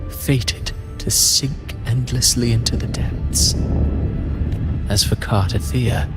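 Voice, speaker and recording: An actor playing a theatrical, scheming game villain who speaks in grandiose, deliberate lines. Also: male voice, lowish voice. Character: theatrical male villain, scheming playwright of despair. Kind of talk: scripted game villain monologue. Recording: loud music under the voice. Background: loud music.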